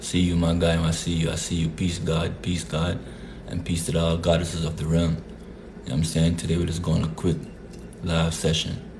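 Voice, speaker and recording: A man's voice: sits low, completely flat delivery, normal speed, creaky, noisy background. A man from Jamaica hosting a casual live stream, greeting viewers by name in a laid-back, repetitive way. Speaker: livestream host.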